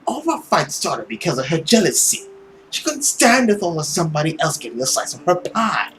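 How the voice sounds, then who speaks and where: A man voicing a smug, scornful nobleman villain in a visual novel, drawling and sarcastic with theatrical pauses. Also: a little high, sing-song, fairly bright tone, a little hiss or hum. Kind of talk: visual novel character acting.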